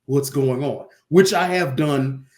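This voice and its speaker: A man's voice: mid-range voice, takes it slowly, darkish tone. A man, an arena announcer, telling casual stories as an interview guest and acting out bits of dialogue. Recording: roomy echo on the voice.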